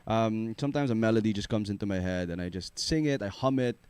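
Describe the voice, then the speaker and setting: A young man with a low, smooth baritone talks about his music in a relaxed, rambling way, full of little fillers and easy laughs. His voice sits close to the microphone in a dry studio. He is from the Philippines. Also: fast talker, no echo. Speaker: singer-songwriter guest. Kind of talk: casual radio interview chat. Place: radio studio.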